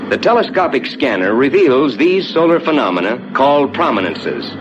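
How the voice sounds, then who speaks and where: An American man playing a rocket ship captain, narrating a scripted 1950s ride to his passengers in a measured, reassuring, explanatory way. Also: big pitch swings, natural voice. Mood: assured, explanatory. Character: assured male rocket ship captain guiding passengers through space. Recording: dull 1950s soundtrack with loud rumbling noise.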